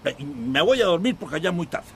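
A man playing a weary, resigned older father in a radio drama, speaking in a halting, dismissive way. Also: fairly high pitch, sing-song, slight background noise.

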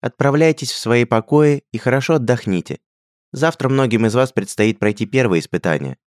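A courteous young prince making a measured, formal address, raised well above the reader's own deep voice, as a male narrator puts him on. The recording is close and dry, with no echo at all. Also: lively intonation, lightly acted. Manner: ceremonious, measured. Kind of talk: audiobook character voice.

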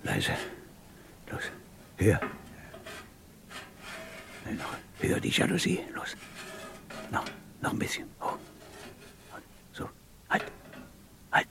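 An actor playing a burglar in an old German radio crime drama, murmuring terse, secretive commands. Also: male voice, fairly low pitch, wildly swooping pitch, slow, creaky, pauses often, background noise, heavily acted. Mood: tense, furtive. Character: hushed male burglar breaking in at night.

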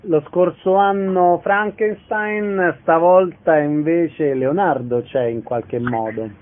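A man's voice: a little high, slow, phone-quality sound. A man asking questions as an interviewer in a radio interview.

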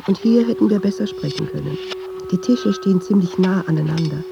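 A woman's voice: on the low side, fairly quick, creaky, muffled recording, noisy background. An actress playing a watchful, nervous woman who muses hesitantly in an intimate radio drama monologue, dropping into half-whispers. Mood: suspicious, uneasy. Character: watchful, nervous woman narrating her inner thoughts.

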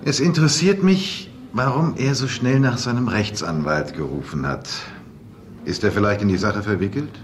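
An actor in a German radio play, playing an authoritative telepathic police investigator who questions people pointedly. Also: male voice, fairly low pitch, animated, moderate pace, slight background noise, lightly acted. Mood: probing, insistent.

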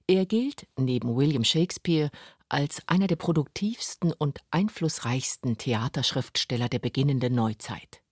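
A man narrating a scripted radio documentary in a measured storytelling way. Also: medium pitch, expressive, no room sound. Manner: measured, clear storytelling delivery. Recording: dry studio with faint music.

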